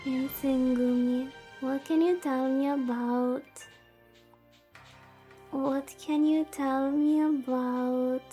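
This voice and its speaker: A woman's voice: high-pitched, fairly even intonation, slow pace, dark tone. A woman chatting casually on a game livestream in a playfully dramatic, lamenting way, as the host. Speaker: VTuber streamer, host. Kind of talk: casual livestream chat while playing a visual novel. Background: background music.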